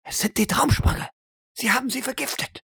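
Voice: high-pitched, creaky, a bit bright, slightly rough, no echo, exaggerated voice. Performer acting a stern, insistent interrogator, dry sound. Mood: grave, pressing. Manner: deliberate, emphatic, pressing. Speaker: voice actor in audio drama. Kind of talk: dramatic audio play acting.

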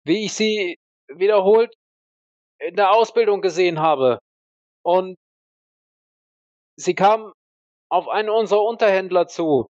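A man voicing a defensive, dutiful army sniper who speaks haltingly and with rigid military formality in a roleplay audio drama. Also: high-pitched, darkish tone, no room sound, highs cut off, pitched above the performer's own voice. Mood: strained, defensive.

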